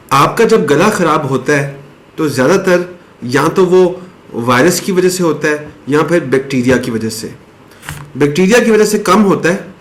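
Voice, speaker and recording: A man explaining a home remedy step by step in a casual, chatty way, now and then clearing his throat. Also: on the high side. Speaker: health advice presenter. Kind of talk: casual explanatory talk to camera.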